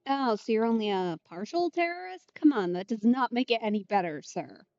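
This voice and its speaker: A woman's voice: fairly high pitch, very animated, fairly quick, darkish tone, no room sound, highs cut off. A woman streamer giving casual Let's Play commentary, sarcastic and slangy. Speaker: streamer playing a video game.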